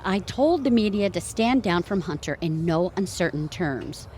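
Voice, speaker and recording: A woman acting a scheming, brassy publicist wife in a comedy audio play, with dramatic drawn-out emphasis. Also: lowish voice, animated, a little mellow, a little hiss or hum, lightly acted. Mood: exasperated, scheming.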